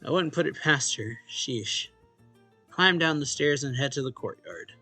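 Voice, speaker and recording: Bright-voiced man reading a story, dry sound. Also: medium pitch, expressive, unhurried, dry recording. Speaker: let's play host. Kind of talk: reading visual novel narration and dialogue aloud. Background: game music.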